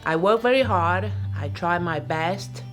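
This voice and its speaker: Middle-aged woman, low voice, halting non-native English, earnest interview subject, faint music under it. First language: not English. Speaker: interviewee, salon owner. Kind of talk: documentary interview.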